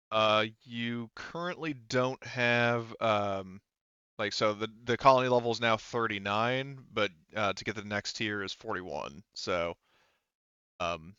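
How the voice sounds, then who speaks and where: A man running an online tabletop roleplaying game as game master, talking casually and haltingly as he thinks aloud and corrects himself. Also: mid-range voice, sharp tone, clear, resonant tone, no room sound, lo-fi sound.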